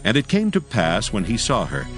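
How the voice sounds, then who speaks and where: A man narrating scripture in archaic English in a solemn, measured manner. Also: lowish voice, huge pitch swings, a bit bright, faint music behind.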